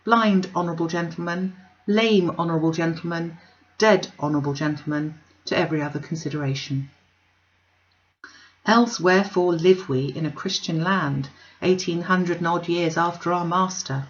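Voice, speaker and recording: A woman's voice: fairly low pitch, lo-fi sound. A woman reading a classic novel aloud as scripted literary narration. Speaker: audiobook reader.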